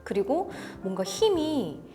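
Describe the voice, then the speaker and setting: A woman's voice: high-pitched, sing-song, slow, mellow, soft background music. A woman co-hosting an audio gear review, conversational, agreeing and prompting with questions.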